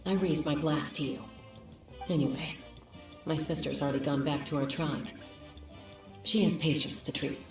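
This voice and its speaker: A man playing a warm, protective young warrior in a video game, speaking in a steady, earnest way. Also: fairly high pitch, moderate pace, pauses often, lightly acted. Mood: earnest, cautioning. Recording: roomy echo, music behind.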